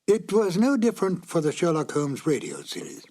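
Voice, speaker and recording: A man's voice: a little high, huge pitch swings, moderate pace, dark tone. A man giving a scripted narration as the presenter of a classic radio drama.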